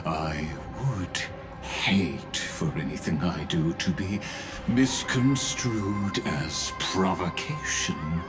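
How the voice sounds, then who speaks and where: A sinister scholar from a fantasy game with an extremely low voice. He speaks very slowly, with theatrical courtesy and amused condescension, savoring his eloquent phrasing. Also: over music. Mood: amused, mocking. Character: sinister, eloquent, amused male scholar-schemer of a doomed world. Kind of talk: English game dub voice acting.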